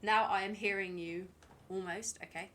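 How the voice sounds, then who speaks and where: A woman's voice: mid-range voice, steady tone, normal speed, fairly bright tone, slight background noise. A British woman streaming a game, talking to herself and chatting to viewers in a dry, rambling way. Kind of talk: live gameplay commentary.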